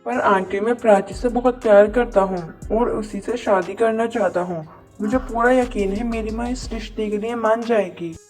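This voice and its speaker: A woman's voice: a little high, fairly even intonation, normal speed, hardly any pauses, a little mellow, soft background music, close to an everyday voice. A woman voicing a loving, dutiful young man in a cartoon moral story, speaking earnestly. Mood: earnest pleading. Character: young adult son, loving, dutiful, dismayed by poverty.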